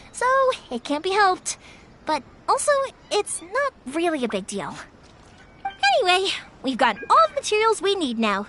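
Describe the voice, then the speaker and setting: A cheerful young fireworks maker from a video game. Her voice is very high and lively, perky and eager to help, chatting expressively over clear background music. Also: exaggerated voice. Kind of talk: scripted video game voice acting. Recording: music playing behind the voice.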